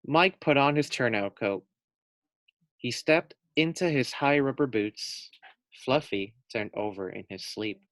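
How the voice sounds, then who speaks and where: Slow, gentle man reading a storybook. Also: mid-range voice, expressive, no room sound. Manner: slow, gentle, with asides to the children. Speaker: teacher reading to first graders. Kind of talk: children's read-aloud.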